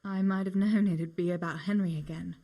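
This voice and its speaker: A woman's voice: on the low side, fairly even intonation, fairly quick, warm tone, breathy edge. A young woman playing a wry, reluctant miller's daughter in a medieval video game, with a dry manner. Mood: wry, resentful. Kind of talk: video game cutscene acting.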